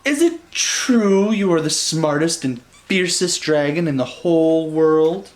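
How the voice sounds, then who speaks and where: A man's voice: a little high, measured pace, voice pushed up, natural voice. A man voicing a bold, clever young princess in a storybook, exclamatory and emphatic. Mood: flattering.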